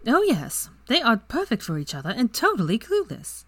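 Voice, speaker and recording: Woman putting on a high playful young witch, teasing, husky edge. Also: wildly swooping pitch, normal speed, no echo. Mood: mischievous.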